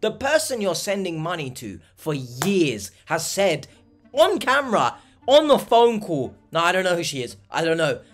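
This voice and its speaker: A man's voice: sits high, sing-song, moderate pace, faint music behind. A young man giving blunt, exasperated reaction commentary with plenty of swearing. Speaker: reaction video host.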